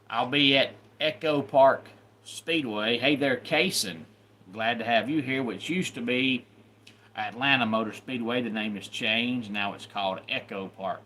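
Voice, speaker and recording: A man's voice: mid-range voice, pushed and bright, slight background noise. Southern man hosting a live stream. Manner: greets viewers by name, promotional announcer delivery. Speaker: YouTube live host and wrestling referee. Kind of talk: casual livestream chat.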